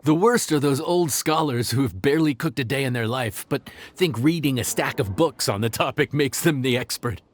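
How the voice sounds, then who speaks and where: Man as a chatty mid-pitched restaurant worker. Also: moderate pace, few pauses, natural voice. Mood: exasperated. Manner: encouraging, chatty. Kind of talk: anime game voice acting. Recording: music behind the voice.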